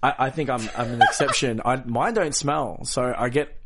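A man's voice: medium pitch, lively intonation, normal speed, a bit bright, breathy edge. A man with an Australian accent chatting casually as an interview guest, informal and self-deprecating, in a loose, rambling way. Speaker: interview guest, professional wrestler. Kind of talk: casual, joking interview chat.

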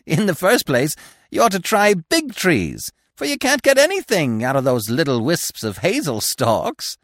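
A carefree storybook woodpecker, cheeky and full of glee. It pipes very high and swings widely in pitch, exclaiming and stretching its words. The recording is dry and close. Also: male voice, wildly swooping pitch, a bit bright, dry recording, full character voice. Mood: jolly, instructing. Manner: gleeful, exclaiming, drawn-out words.

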